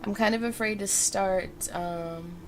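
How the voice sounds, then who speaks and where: A woman's voice: medium pitch, slow pace, faint music behind. A woman live streamer with a cold, chatting casually and jokily to her viewers. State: has a cold. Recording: clearly noisy background ambience.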